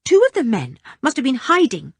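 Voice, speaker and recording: A woman's voice: high voice, huge pitch swings, brisk, breathy edge, dry recording, pitched above the performer's own voice, heavily acted. A woman doing a breathless frightened young boy.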